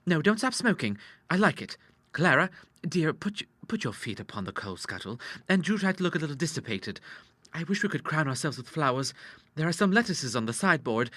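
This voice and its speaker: A man's voice: fairly high pitch, sing-song, brisk, a bit bright, no echo, pitched above the performer's own voice. A man voicing a young Victorian daughter in an audiobook, girlish, affectionate and sweetly wheedling. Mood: playful. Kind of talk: dramatized audiobook dialogue.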